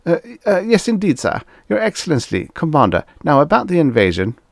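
A man's voice: on the low side, sing-song, fairly quick, creaky, dry recording, studio quality, pitched above the performer's own voice. A man voicing a pompous, easily panicked ambassador, barking and indignant in a science fiction audiobook. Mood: nervous, fawning. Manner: barking, indignant, self-important.

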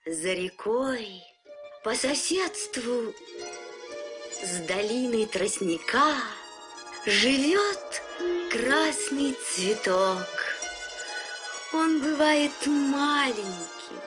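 Loud music runs under an old cartoon soundtrack. A commanding female panther speaks very slowly in a fairly high voice, grave and dramatic, weighing each word. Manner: slow, deliberate, dramatic. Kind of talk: animated film voice acting.

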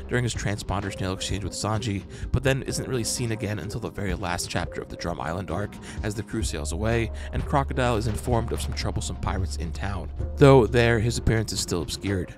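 A man hosting an explainer, reading scripted narration in a breakdown style. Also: on the low side. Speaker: host of fan breakdown channel. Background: background music.

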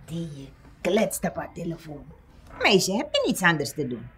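A woman's voice: on the low side, big pitch swings, measured pace, a bit bright, a little hiss or hum. An older woman playing a blunt, suspicious grandmother who scolds and questions in film dialogue. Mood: scolding. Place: dim living room indoors.

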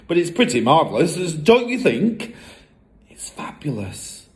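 Male reader as young girl, scheming, excitable, theatrical, high pitch, lively swings, storybook. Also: moderate pace. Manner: breathy whispers breaking into bold cries.

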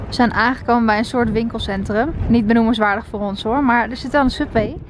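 A young woman from the Netherlands talks chattily to her camera on a road trip. Her voice sits high and light. Car and wind noise run clearly underneath the camera audio. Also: fairly even intonation, quick pace. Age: 20s. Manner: chatty and upbeat, talking to the camera. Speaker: travel vlogger. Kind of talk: casual travel vlog narration. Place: inside a car and outdoors in windy desert. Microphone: camera held at arm's length.

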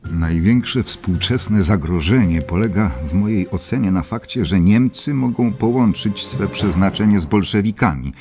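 An actor playing a grave British statesman in a dramatized audiobook, formal and measured. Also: low voice. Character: grave British male statesman reading his warning. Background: music.

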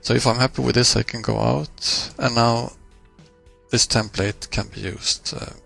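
Male tutorial presenter, husky and fairly flat in intonation, measured explanatory delivery. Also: mid-range voice, soft background music. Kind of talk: screencast software walkthrough, explanatory.

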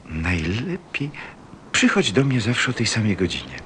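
A man playing a gentle, wistful fox who craves friendship, speaking earnestly and tenderly in an audio play. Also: on the low side, big pitch swings, a little hiss or hum. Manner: slow, earnest, tender delivery. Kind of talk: Polish-language audio play acting.

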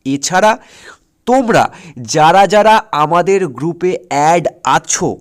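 A man's voice: high voice, unhurried, smooth. A man with an Indian Bengali accent from West Bengal teaching an exam-prep lesson, repeating his points and often checking that students follow. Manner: instructive, repeats points and keeps checking understanding with short questions. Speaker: exam-prep history teacher on an online channel. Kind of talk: informal lesson, explaining exam strategy step by step.

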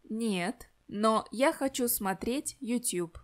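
A woman giving slow, careful scripted example answers in a language lesson. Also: a little high.

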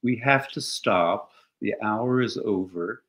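An older man hosting an interview over a video call from his living room, speaking in a courteous, measured way. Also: lowish voice, a bit bright. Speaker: interviewer, host. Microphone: video call webcam.

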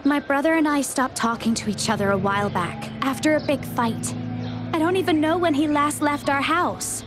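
A woman's voice: high-pitched, normal speed, close to an everyday voice. A woman playing a worried but plucky young sister in a video game, with an earnest, slightly hesitant manner. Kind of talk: video game character dialogue. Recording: game music behind the voice. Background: game music.

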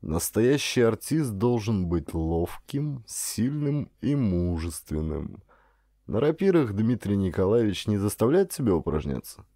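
Man voicing a hushed, deep old theatre director. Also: sing-song, dry recording, clean studio sound, heavily acted. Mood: approving, earnest. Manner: slow, hushed, savoring each phrase. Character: kindly, amused older male theatre director praising a boy.

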